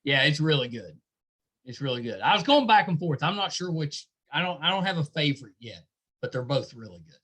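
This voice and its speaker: Higher-voiced middle-aged man, encouraging interviewer. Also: big pitch swings, brisk, a bit bright, husky. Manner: encouraging, complimentary. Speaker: podcast host interviewing a band. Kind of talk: casual conversational interview.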